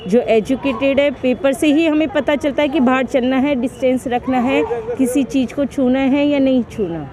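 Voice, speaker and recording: A woman gives matter-of-fact answers in an outdoor street interview. Her voice is somewhat high, and a crowd is clearly heard around her. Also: moderate pace, hardly any pauses.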